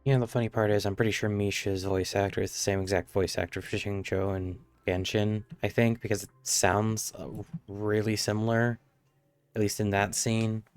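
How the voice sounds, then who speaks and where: A man's voice: fairly low pitch, level tone, moderate pace, no room sound, faint music behind. A man giving casual live commentary as he streams a game.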